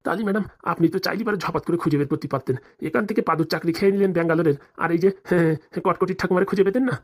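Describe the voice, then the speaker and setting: Guy doing flattering servant for a comedy cartoon, humble village talk, really really fast. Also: high-pitched. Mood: fawning. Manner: fawning, colloquial rustic speech. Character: deferential, flattering household servant of a village madam. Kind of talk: comic cartoon dialogue.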